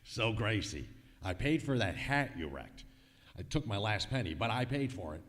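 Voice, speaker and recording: A man's voice: fairly low pitch, big pitch swings, normal speed, fairly bright tone. A man playing a grumbling, hard-luck husband full of bluster in 1940s-style radio comedy. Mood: exasperated. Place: live stage in a museum hall. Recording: hall reverb, live stage performance.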